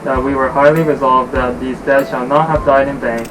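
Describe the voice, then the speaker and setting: A man's voice: mid-range voice, flat delivery, moderate pace, background noise. A man, talking casually to camera as a student guest. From Japan. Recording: somewhat distant microphone, music behind.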